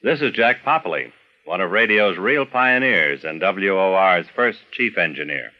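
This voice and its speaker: A man's voice: low voice, expressive, measured pace. An American man reading scripted radio documentary narration as the narrator. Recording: dull vintage radio recording.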